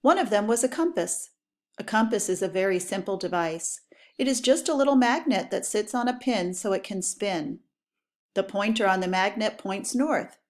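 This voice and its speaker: A woman teacher reading educational text aloud for pupils, with clear, deliberate, instructional phrasing. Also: mid-range voice, expressive.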